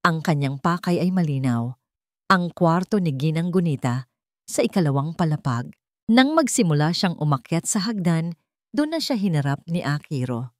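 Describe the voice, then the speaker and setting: Low-pitched Filipino woman narrating a drama. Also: big pitch swings, normal speed, no echo, studio quality. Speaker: story narrator. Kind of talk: dramatic scripted storytelling narration.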